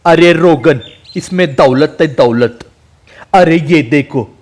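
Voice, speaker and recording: A man's voice: on the high side, slow pace, a little mellow. A man voicing a boastful, scheming dog thief with a brash manner.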